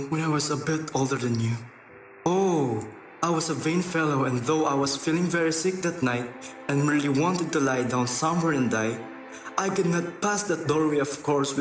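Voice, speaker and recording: A distant, echoing radio drama grandfather, acted by a man, with music softly underneath. He is old, playful and mock-stern, fond of theatrical teasing and wistful tales. His voice sits on the high side. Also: natural voice. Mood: dramatic reminiscing.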